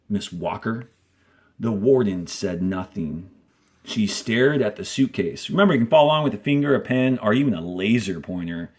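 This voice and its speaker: A man reading a story aloud as a teacher, deliberately, with instructional asides to those following along. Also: medium pitch. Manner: deliberate, explains punctuation and page cues as he reads. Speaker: fourth grade teacher reading aloud to a class.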